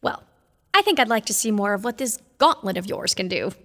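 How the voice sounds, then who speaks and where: A woman's voice: medium pitch, expressive, fairly quick, a bit bright. A woman playing a bold, curious young newcomer in an audio drama, inquisitive and drily sardonic. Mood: excited, bold. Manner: inquisitive, dry, challenging. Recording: reverberant hall-like sound.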